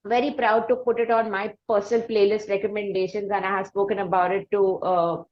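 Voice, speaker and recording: A woman's voice: mid-range voice, little pitch movement, fairly quick. A woman hosting a conversational interview, speaking in an effusive, wordy and hesitant way. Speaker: podcast host interviewing a musician. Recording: muffled, echoey room sound.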